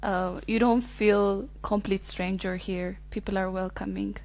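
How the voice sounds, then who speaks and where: A woman's voice: medium pitch, fairly even intonation, a little slow, creaky, a little mellow. Easygoing woman in her twenties. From Ethiopia. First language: Amharic. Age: 20s. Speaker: podcast guest. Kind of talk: casual interview chat.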